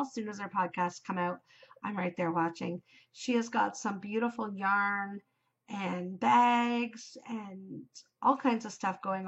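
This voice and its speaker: Chatty Canadian woman host, loud and enthusiastic, dull home mic. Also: medium pitch, gravelly. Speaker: knitting podcast host. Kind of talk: casual chat. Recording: slightly dull home recording.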